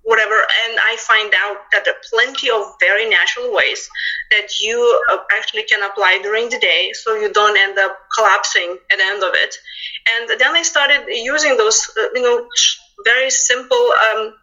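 A woman's voice: on the high side, fairly even intonation, normal speed, sharp tone, highs cut off. A woman with a Lithuanian accent, a stress management coach, telling her story on a video call with many fillers and restarts. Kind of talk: casual interview talk.

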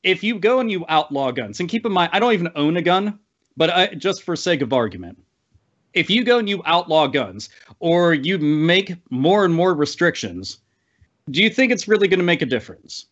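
A man's voice: fairly high pitch, sing-song, moderate pace, a bit bright, highs cut off. A man on a podcast panel giving an emphatic, wordy, opinionated rant full of swearing. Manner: emphatic, wordy, piles up clauses, swears freely.

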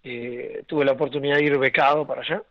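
A man's voice: medium pitch, steady tone, fast, no echo, muffled recording. A young man with an Argentine accent, raised in Argentina and Venezuela, telling his story as an interview guest with many restarts and fillers. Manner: rambling, many restarts and fillers, laughs often.

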